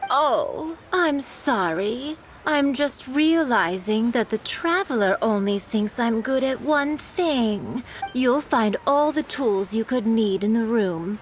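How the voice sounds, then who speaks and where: A coy teasing shopkeeper. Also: female voice, a little high, lively intonation, a little hiss or hum, rough recording. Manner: playful, coy, drawn-out teasing. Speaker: perfume shop owner and tailor. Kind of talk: game voice acting. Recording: game dialogue over clear music.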